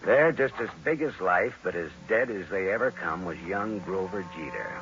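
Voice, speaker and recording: An actor playing a wry, tough private detective who narrates a 1940s radio noir, deadpan and laconic. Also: male voice, deep voice, moderate pace, background noise. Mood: wry, somber. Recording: muffled vintage radio recording, dramatic music.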